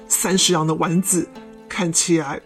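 A woman's voice: on the low side, level tone, a little slow, faint music behind, pitched below the performer's own voice, lightly acted, poor audio. A woman playing a fawning, scheming stink bug shopkeeper. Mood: jealous. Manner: wheedling, sniggering, bossy to servants.

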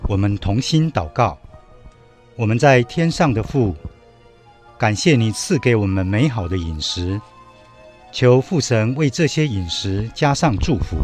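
An actor playing a calm, gentle young traveller, the risen Jesus, in solemn, measured radio drama style. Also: male voice, medium pitch, close to an everyday voice. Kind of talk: radio Bible drama acting.

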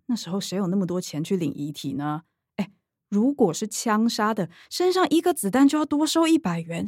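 A woman's voice: mid-range voice, darkish tone, no room sound, clean studio sound. A woman playing a chatty, joking middle-aged mother in a radio drama, bustling and exclaiming with a Taiwanese accent.

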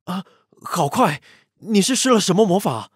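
A man's voice: high-pitched, lively intonation, moderate pace, husky, dry recording, clean studio sound, full character voice. An actor playing an easygoing, affectionate young male pastry assistant in an audio drama, speaking with a Taiwanese accent. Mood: friendly, pleading. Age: young adult. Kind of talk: audio drama acting with narration.

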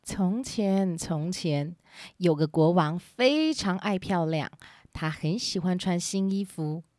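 A woman's voice: lowish voice, slow pace, a little mellow, no room sound. A woman narrating a scripted children's story as its storyteller. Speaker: storyteller and host.

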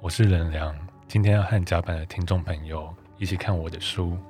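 A man's voice: sits low, steady tone, fairly quick, a little mellow. A man reading scripted first-person narration for an audio drama. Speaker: photographer narrating his own book. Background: music.

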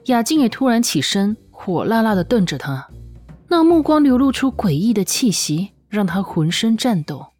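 一位女性有声小说旁白，照着剧本富有表情地讲述故事。. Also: female voice, mid-range voice, sing-song, normal speed, a little mellow. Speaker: audiobook narrator. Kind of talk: expressive scripted story narration. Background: background music.

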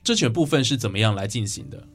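A man's voice: lowish voice, animated, fast talker, slightly rough, soft background music. Taiwanese-accented male radio host. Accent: Taiwanese Mandarin. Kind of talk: radio interview.

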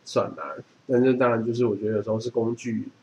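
With noticeable noise around him, a man with a Taiwanese Mandarin accent talks in a medium-pitched voice. He explains things casually and matter-of-factly, like a co-host on a chatty podcast. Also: quick pace, a little mellow. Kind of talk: casual chat. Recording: clearly noisy background.

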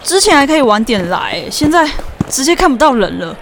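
A woman's voice: sits high, big pitch swings, a little fast, a crowd in the background. A woman playing a friendly, outgoing, chatty teenage fan in an audio drama. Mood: amazed. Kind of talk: Chinese audio drama acting. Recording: music under the voice.

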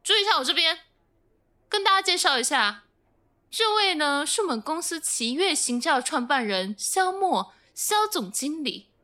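A woman voicing a bossy female office manager with a high, tense voice that leaps up and down. She scolds staff sharply in an audio drama. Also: very animated, normal speed, pushed and bright, exaggerated voice. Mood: brusque.

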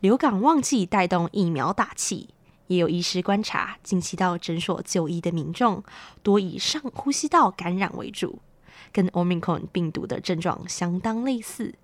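A woman's voice: on the low side, animated, normal speed, no room sound. A young adult woman with a Taiwanese Mandarin accent reading a scripted news report as a student reporter.

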